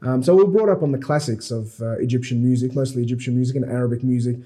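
A man raised in Australia, a musician guest explaining his instrument in a relaxed, explanatory interview manner. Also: mid-range voice, racing, breathy edge. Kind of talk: interview conversation.

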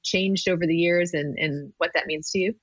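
A woman's voice: lowish voice, steady tone, normal speed, no echo. Dry, slightly dull recording of a woman interviewing. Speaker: interviewer, company executive. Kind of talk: conversational interview.

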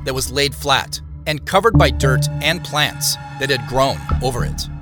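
An actor playing a storyteller, narrating an audio drama in a measured, scripted way. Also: male voice, mid-range voice, over loud music, lightly acted. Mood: measured, mysterious. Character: storyteller recounting clues and an eerie boyhood tale.

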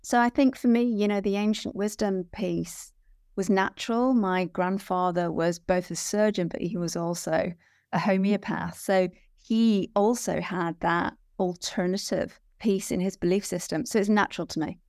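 A woman's voice: mid-range voice, fairly even intonation, normal speed, darkish tone, dry recording, clean studio sound. A middle-aged woman with a Welsh accent, speaking conversationally as an interview guest, often repeating phrases for emphasis. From Wales. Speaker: podcast guest.

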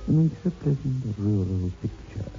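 An actor playing a sinister, ghoulish horror host, narrating slowly and theatrically in an old-time radio show. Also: male voice, lowish voice, lively intonation, creaky, dark tone. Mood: ominous. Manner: slow, menacing, theatrical. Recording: muffled old radio recording with music.

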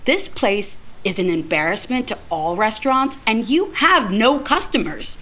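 A woman's voice: medium pitch, lively intonation, a little slow, fairly bright tone. An actress playing a sarcastic, exasperated woman applying at a diner, outspoken, in a naturalistic audio drama. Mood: indignant. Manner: sardonic, outspoken. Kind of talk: naturalistic audio fiction acting.

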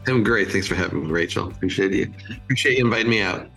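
A man in his fifties from California with an American accent, chatting as an interview guest in a halting, thoughtful way. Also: deep voice, creaky, a bit bright, slightly rough, soft background music, low-quality recording. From California, United States. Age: 50s. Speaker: interview guest, creative agency chief. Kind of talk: casual interview chat.

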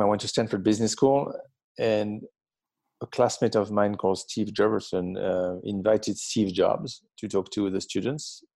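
A man's voice: lowish voice, gravelly, mellow, no echo. A man in his fifties from the south of France with a French accent, speaking as a guest in an interview, hesitant with many ums and restarts. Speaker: guest, tech company founder. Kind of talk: interview conversation.

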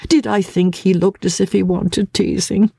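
A man voicing a languid, self-pitying invalid gentleman in a classic audiobook, with an aggrieved, theatrically weary drawl. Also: high voice, level tone, moderate pace, gravelly, slightly rough, dry recording, studio quality, pitched above the performer's own voice, heavily acted. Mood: languid, put-upon. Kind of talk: dramatic audiobook reading of classic literature.

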